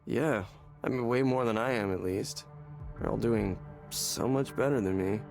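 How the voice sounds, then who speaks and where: An actor playing a despairing, hollow man in a visual novel game, speaking haltingly and repeating words as he searches for them. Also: fairly low pitch, gravelly, darkish tone, soft background music. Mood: self-loathing, gloomy. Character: despairing, hollow man confiding in a counseling session.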